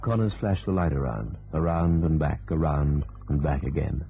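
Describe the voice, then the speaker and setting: A man narrating a grim crime thriller radio play in terse, deliberate sentences with a very deep, gravelly voice. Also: a little hiss or hum. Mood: grim, suspenseful. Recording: tinny band-limited radio recording, music behind.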